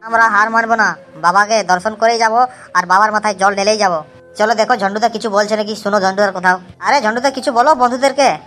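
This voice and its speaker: Man as a fast-talking, weary cartoon pilgrim. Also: high-pitched, fairly even intonation, pushed and bright, soft background music. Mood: tired, determined. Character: weary young male pilgrim, chatty and devout. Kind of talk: amateur cartoon dialogue, vlog-like.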